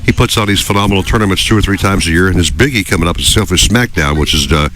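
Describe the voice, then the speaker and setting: A man hosting a fishing radio show, bantering in a jokey, excitable way. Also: deep voice, very fast. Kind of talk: casual radio chat.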